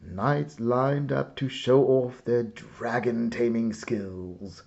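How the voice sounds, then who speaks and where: A man reading a children's picture book aloud in a theatrical, expressive way with dramatic pauses. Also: mid-range voice, creaky, muffled recording. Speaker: storybook reader.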